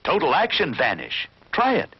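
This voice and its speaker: A man delivering a vintage commercial sales pitch as an announcer. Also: wildly swooping pitch, moderate pace, breathy edge. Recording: dull, noisy vintage ad audio.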